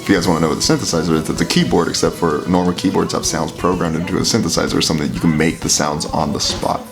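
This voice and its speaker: A man with a very deep voice giving casual, filler-heavy commentary while reacting to a song. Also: rapid-fire, gravelly. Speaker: reaction video host. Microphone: headphones with mic. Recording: music playing under the voice. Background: music playing.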